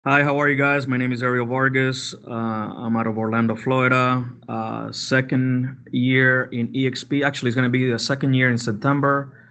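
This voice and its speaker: A man's voice: medium pitch, level tone, moderate pace, hardly any pauses, fairly bright tone. A man from Cuba introducing himself on a panel in a friendly, casual way. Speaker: panelist, realtor. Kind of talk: conversational self-introduction.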